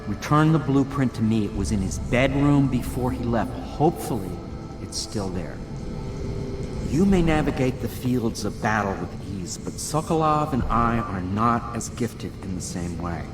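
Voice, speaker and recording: A man's voice: medium pitch, expressive, moderate pace, close to an everyday voice. A man playing a proud, eccentric inventor in a video game, speaking in a formal, pedantic and precise manner. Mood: measured, precise. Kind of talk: scripted video game dialogue. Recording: roomy echo with music underneath.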